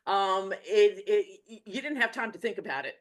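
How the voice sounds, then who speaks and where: A woman with a New Jersey accent, speaking as a guest in a relaxed, rambling interview chat over a video call. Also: medium pitch, moderate pace. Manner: relaxed, rambling, with many fillers. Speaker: medium and teacher.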